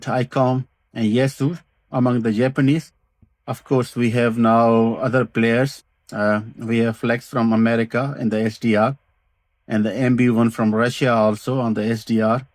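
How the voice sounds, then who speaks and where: A man with a mid-range voice and non-native English walks through a hobby product showcase. He talks casually and haltingly, pausing between short phrases. The sound is close and dry, with little room in it. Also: medium pitch, dry recording. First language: not English. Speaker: vintage radio hobbyist presenter.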